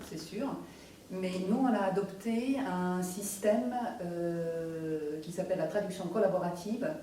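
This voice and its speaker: A woman's voice: fairly low pitch, warm tone. A woman from Croatia, a native Croatian speaker, talking as a translator on a panel, often repeating words as she searches. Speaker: round-table panelist, literary translator. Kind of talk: spontaneous round-table discussion. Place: reverberant room at a round-table event. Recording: very echoey room, distant mic, faint noise.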